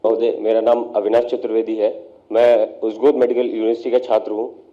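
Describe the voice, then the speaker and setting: Young man, student, slightly low voice, formal introduction, lo-fi sound. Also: little pitch movement, a little fast. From Lucknow, northern India. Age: young adult. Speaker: medical student. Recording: dull lo-fi event audio.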